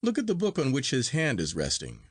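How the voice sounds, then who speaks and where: A man voicing a melancholy, reserved gentleman of forty in a storybook reading, reflective and quietly bitter. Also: medium pitch, wildly swooping pitch, fairly quick, slightly rough, no room sound, clean studio sound. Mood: sad, reflective. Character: melancholy, wistful forty-year-old gentleman friend, amused and reserved. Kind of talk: acted dialogue in storybook reading.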